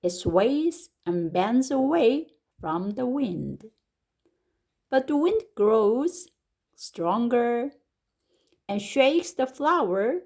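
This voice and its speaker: This is a woman with a Korean accent reading a children's story in English. She goes at a very unhurried pace and stretches out each word. Her slightly high voice swoops up eagerly whenever something exciting happens. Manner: slow and deliberate, stretching words, with lively rises on exclamations. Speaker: English picture-book reader and teacher. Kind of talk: slow, expressive storybook read-aloud.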